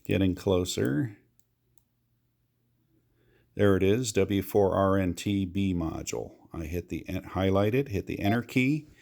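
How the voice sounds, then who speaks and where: A man's voice: low voice, unhurried, gravelly, pauses often, darkish tone. An American man giving a casual how-to walkthrough of amateur radio gear, explaining with repeated reminders.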